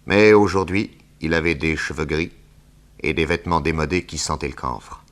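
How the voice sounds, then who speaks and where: A man narrating a scripted 1960s French radio drama in a measured storytelling manner. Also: a bass voice, a bit bright.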